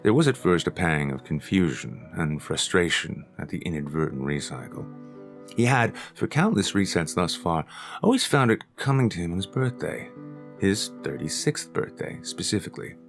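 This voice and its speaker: A man reading his own short story aloud as scripted audiobook narration, in a measured, deliberate storytelling manner. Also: sits low, very animated, soft background music.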